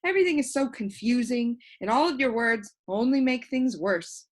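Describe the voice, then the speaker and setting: A woman's voice: a little high, animated, normal speed, pitched above the performer's own voice. A woman as a polite, puzzled little boy. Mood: protesting. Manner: polite, soft-spoken. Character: polite, earnest, puzzled young boy in a storybook.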